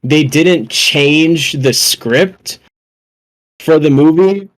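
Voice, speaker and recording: Excitable man with a higher voice. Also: drawn-out words, no echo, low-quality recording. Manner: excitable, slangy, profane. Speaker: podcast panelist. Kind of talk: casual chat.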